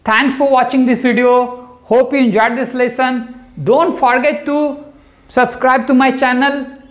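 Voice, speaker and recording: A man's voice: extremely high pitch, flat delivery, unhurried, fairly bright tone. A middle-aged man teaching a grammar lesson at a whiteboard, patiently checking in with "right?" as he explains. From India. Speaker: English teacher. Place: room in front of a whiteboard. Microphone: lapel. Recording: echoey room.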